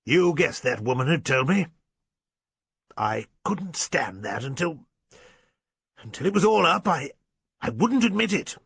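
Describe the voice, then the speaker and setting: A man voicing a shaken, proud old English squire in an audiobook novel, gruff, halting and old-fashioned. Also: mid-range voice, sing-song, a bit bright, no echo, voice pushed up, heavily acted. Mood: halting, shamed.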